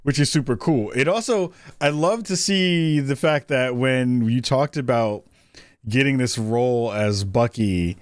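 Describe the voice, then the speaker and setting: A man's voice: medium pitch, unhurried, no room sound, clean studio sound. A man hosting a podcast interview, asking long questions with plenty of enthusiasm. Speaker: podcast host. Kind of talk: conversational interview.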